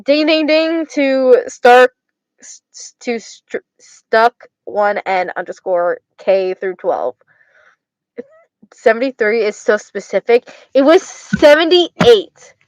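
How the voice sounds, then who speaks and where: A young woman with an Arizona accent chats loosely with her viewers, her words slurring together. She stutters and circles back over her own phrases. Her voice sits a little high and sounds dry and close. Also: lively intonation, slow, dry recording, rough recording. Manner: stutters, slurs words, repeats herself. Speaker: livestreamer chatting with viewers. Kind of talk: casual chat.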